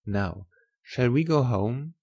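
A woman's voice: very deep voice, lively intonation, takes it slowly, creaky, a little mellow, husky, pitched below the performer's own voice, full character voice. A woman voicing a tender, earnest older German professor in love, with formal, emphatic speech in an audiobook. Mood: pleased. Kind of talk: audiobook character voice.

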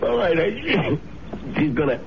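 A man playing a sickly, reluctant killer lodger, breathless and halting, with wheedling menace in a radio drama. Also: a little high, big pitch swings, gravelly, a crowd in the background. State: winded, breathless. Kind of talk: 1970s radio drama acting. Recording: muffled 1970s radio drama recording.

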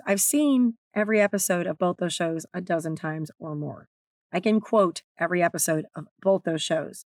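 Woman, raspy, strained voice, candid podcast chat, dry recording. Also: fairly low pitch, no echo. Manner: candid, chatty, self-deprecating. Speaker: podcast host and author. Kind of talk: solo podcast talk.

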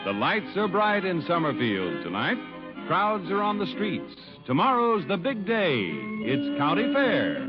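A man's voice: high voice, huge pitch swings, measured pace, loud music behind the voice. A man announcing a vintage 1950s radio show in scripted, old-time style. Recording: dull old radio broadcast.

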